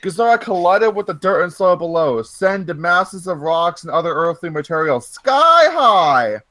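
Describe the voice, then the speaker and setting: A man with a high voice reads fan fiction aloud as host. He is jokey and riffs on the text, repeating lines for emphasis and adding asides. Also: moderate pace, fairly bright tone. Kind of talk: mocking read-aloud with joking asides.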